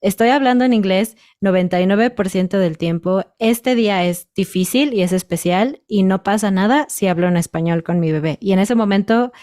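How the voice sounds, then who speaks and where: A woman in her thirties with a Mexican Spanish accent, a guest chatting thoughtfully in an interview. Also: mid-range voice. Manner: thoughtful, measured, with soft laughs. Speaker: podcast guest, Spanish teacher. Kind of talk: casual interview chat.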